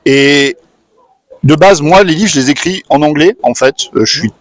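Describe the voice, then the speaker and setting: A man with a slightly raised voice explains his books in a brisk, practical way. His voice sounds dry and close to the mic, with little room around it. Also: a little high, no echo. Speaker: author. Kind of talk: casual interview chat. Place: convention hall.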